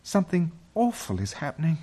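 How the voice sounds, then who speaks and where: A man takes on a worried storybook girl. The voice sits much higher and moves about in pitch. The speech is hesitant and shaky, with an urgent, questioning edge. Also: wildly swooping pitch, a little slow, mellow, breathy edge, full character voice. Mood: afraid. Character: girl, older sister, fearful, questioning and urgent. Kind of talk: storybook character voice.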